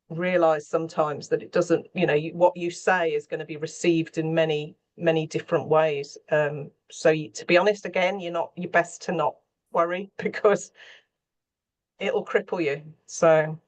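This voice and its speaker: A woman's voice: sits low, flat delivery, normal speed. Thoughtful, candid woman in conversation. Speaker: scriptwriter for radio and theatre. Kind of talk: panel conversation, reflective.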